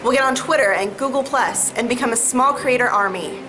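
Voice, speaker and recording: A woman's voice: on the high side, brisk, noisy background, close to an everyday voice. A woman playing a defiant creator in a comedy sketch, rallying fellow protesters.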